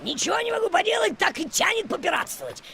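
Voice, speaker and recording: A man's voice: very high-pitched, very animated, fast, vocal fry, background noise, exaggerated voice. An actor playing a boisterous male ferry captain with pirate airs, bellowing and theatrical, in a video game dub. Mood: jolly.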